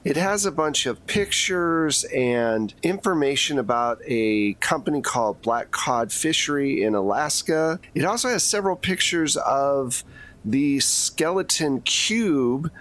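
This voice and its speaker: A man's voice: medium pitch, lively intonation, measured pace, a bit bright. A man narrating a tabletop roleplaying game as game master, setting scenes in a casual, conversational way.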